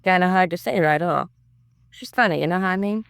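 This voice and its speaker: A woman's voice: lowish voice, brisk, vocal fry, clear, resonant tone. An actress playing a nosy, gossipy, disapproving landlady in a 1950s radio crime drama, with folksy, chatty colloquial speech.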